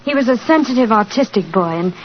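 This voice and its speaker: A woman's voice: on the high side, animated, brisk, close to an everyday voice. An actress playing an earnest, lovelorn young lady in a 1940s radio drama, speaking politely and urgently. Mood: anxious, eager. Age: young adult. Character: earnest, pleading, lovelorn young English gentlewoman. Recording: noisy, muffled 1940s radio recording.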